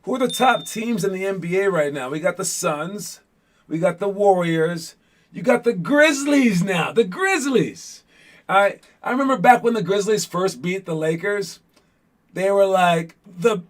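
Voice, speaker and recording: A man's voice: high-pitched, animated, a little slow. A man hosting a basketball show as casual chat with viewers, talking in an informal, rambling way with playful teasing.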